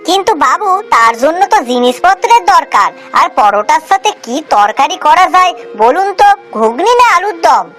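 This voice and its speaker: A woman's voice: high voice, sing-song, moderate pace, hardly any pauses, a bit bright, faint music behind. A woman voicing a young, eager, deferential servant and food hawker in a children's cartoon. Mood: earnest. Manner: deferential, chirpy.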